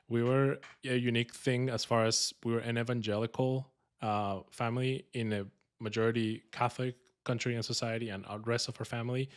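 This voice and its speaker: A man from Colombia with a Colombian Spanish accent, hosting a podcast in an upbeat, joking, casual way with many fillers. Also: lowish voice. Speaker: podcast host.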